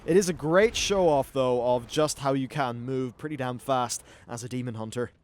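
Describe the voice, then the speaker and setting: A man's voice: medium pitch, normal speed. A man hosting a gaming video with casual commentary and wry, sweary asides.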